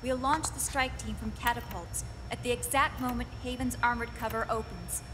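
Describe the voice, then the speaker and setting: A woman's voice: fairly high pitch, steady tone, background noise, close to an everyday voice. Woman as a commanding officer, ringing reverb. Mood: firm, businesslike. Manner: authoritative, measured, formal. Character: commanding, earnest female military officer giving a briefing. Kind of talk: video game cutscene acting. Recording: hall reverb, music behind.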